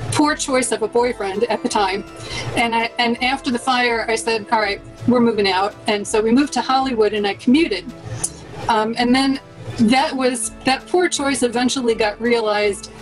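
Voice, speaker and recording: A woman's voice: a little high, poor audio. A middle-aged American woman casually reminiscing in an interview. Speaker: interviewee, former student co-op member.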